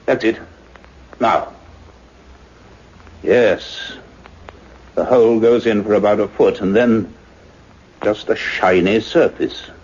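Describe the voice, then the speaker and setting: An actor playing an earnest, intense male scientist in a radio play, with deliberate, dramatic phrasing. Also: lowish voice, steady tone, darkish tone, slightly rough. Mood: intent, curious. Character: earnest male scientist, doctor, excited yet grave and cautious. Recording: dull lo-fi radio recording, faint music.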